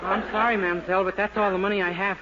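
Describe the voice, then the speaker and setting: A man's voice: sits high, flat delivery, rapid-fire, dull audio, over a noisy crowd, very noisy, lightly acted. An actor playing a naive, bashful young man who gets flustered easily, in 1940s radio sitcom acting.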